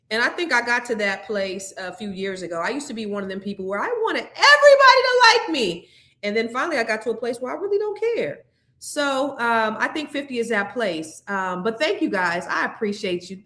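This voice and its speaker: A woman's voice: a little high, echoey room. A woman in her fifties hosting a live money podcast, chatting casually and talking straight to her audience with slang. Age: 50s. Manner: lively, slangy, addresses audience directly. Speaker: podcast host. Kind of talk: live stream casual chat.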